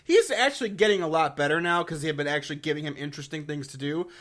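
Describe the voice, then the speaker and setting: A man's voice: on the high side, fast talker, a bit bright. A man giving a casual, opinionated game lore review, blunt and exasperated, with slangy swearing and mocking asides.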